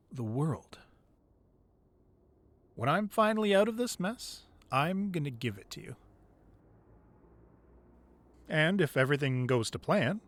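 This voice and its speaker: A man playing a weary but devoted, protective crime boss boyfriend in an intimate ASMR roleplay, speaking softly and close, at times breathy. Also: a little high, no room sound. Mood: devoted, hopeful. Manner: soft, intimate, drifting into breathy whispers.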